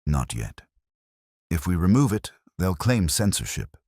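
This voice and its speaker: A very low, clear voice for a patient, shrewd police inspector played by a male narrator. He is measured and quietly firm, speaking with deliberate understatement in a detective audio drama. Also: big pitch swings, no room sound, clean studio sound, full character voice.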